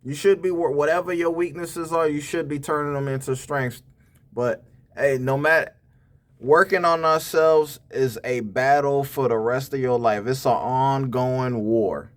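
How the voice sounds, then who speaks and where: Dude preaching relationship advice to the fellas, real slangy, mid pitch. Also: male voice, measured pace. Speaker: reaction channel host. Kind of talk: casual opinionated commentary.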